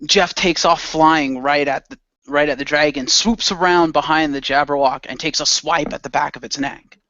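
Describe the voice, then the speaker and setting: Bright, sharp-voiced man narrating action. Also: a little high, normal speed, dry recording, lo-fi sound. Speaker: player narrating own character.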